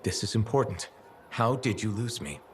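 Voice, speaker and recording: An actor playing a friendly, helpful adventurer in a video game, talking in a casual, reassuring way. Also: male voice, fairly low pitch, expressive, moderate pace, slight background noise, lightly acted. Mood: gentle, probing. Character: steady, friendly male freelancer adventurer, helpful and gently probing. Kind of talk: video game dialogue acting.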